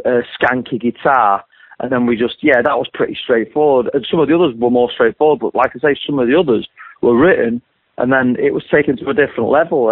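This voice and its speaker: A middle-aged man with a deep voice, a singer-songwriter rambling through an interview with many restarts and repeated words. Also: husky, dry recording. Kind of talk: casual interview talk. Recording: dry tinny phone line.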